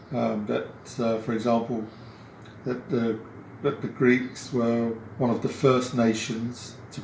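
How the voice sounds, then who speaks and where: British-raised man, halting interviewee, unhurried and level delivery, echoey room. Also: mid-range voice, gravelly, a little mellow, a little hiss or hum. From Britain. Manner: halting, many pauses and fillers. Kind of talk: hesitant reflective answer.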